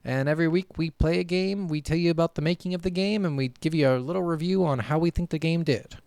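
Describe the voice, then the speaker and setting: Clear-voiced man, dry close podcast sound. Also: a little high, fast, darkish tone, no echo. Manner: casually profane. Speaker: podcast co-host. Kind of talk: casual gaming podcast chat.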